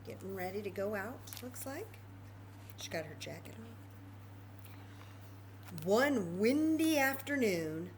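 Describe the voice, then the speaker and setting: A woman leads story time for young children in a highly animated, fairly high voice. She takes her time, chatting and marveling as she reads. There is a little background noise in the room. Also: rough recording. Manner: expressive, chatty, with exaggerated wonder and questions to children.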